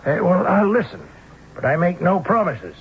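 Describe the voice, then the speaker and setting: An actor playing an urbane, dry-witted scholar-sleuth in a vintage radio mystery, erudite, wry and courteously self-assured. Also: male voice, high voice, big pitch swings, moderate pace, breathy edge, heavily acted. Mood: dry, teasing. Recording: muffled, hissy old radio broadcast.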